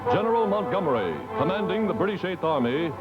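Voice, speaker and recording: A man's voice: sits high, big pitch swings, quick pace, highs cut off. A man narrating a scripted 1940s newsreel in an emphatic, declamatory announcer's delivery, on a vintage film soundtrack. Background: loud music.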